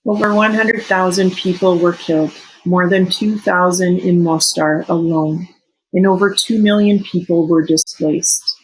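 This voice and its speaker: A Canadian-born woman in her forties whose first language is Serbo-Croatian, reading a personal reflection in an earnest, measured way. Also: fairly low pitch, steady tone, a little hiss or hum, low-quality recording. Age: 40s. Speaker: teacher sharing a personal reflection.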